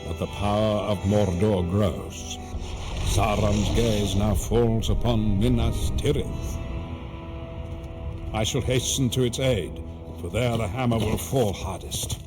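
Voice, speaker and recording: A wise old wizard mentor, low in pitch and very unhurried. He narrates a fantasy game with grave, portentous weight over orchestral-style backing music. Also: steady tone. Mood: grave warning. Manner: solemn, measured, portentous. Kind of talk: video game cutscene narration.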